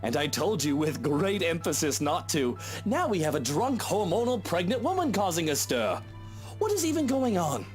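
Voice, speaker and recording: Male actor for a theatrical rich host, pompous, with a swooping lively voice. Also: a little high, normal speed, soft background music. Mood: indignant. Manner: theatrical, grandiose. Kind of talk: game voice acting.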